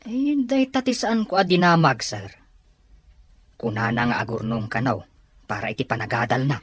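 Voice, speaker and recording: Low, animated underling, acted by a woman. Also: moderate pace, breathy edge, full character voice. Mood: inquisitive. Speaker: actor in a Filipino serial drama. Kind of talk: acted drama dialogue. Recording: music behind the voice.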